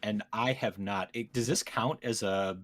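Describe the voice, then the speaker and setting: A man's voice: fairly low pitch, steady tone, a little slow, fairly bright tone. A man hosting a casual podcast, chatting in a joking, playfully teasing way. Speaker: podcast host. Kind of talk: casual comedic podcast chat.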